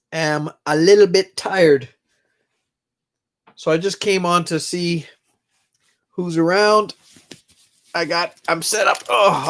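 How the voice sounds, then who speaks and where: A middle-aged man who is congested, rambling casually on a live stream from his own room and greeting viewers by name. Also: on the high side. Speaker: livestream host.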